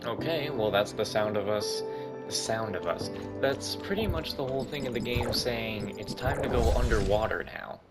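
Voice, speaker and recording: A man's voice: fairly low pitch, moderate pace. A man giving casual, rambling gaming commentary, reacting aloud as he plays. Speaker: gaming YouTuber. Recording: loud game music behind commentary.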